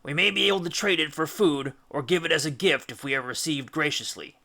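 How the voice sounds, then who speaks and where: A proud seafaring hero from a storybook, as a male reader plays him. He is diplomatic and commanding, with a slightly pompous air and a forceful, fairly high voice. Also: a little fast, creaky, heavily acted. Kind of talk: children's storybook character voice.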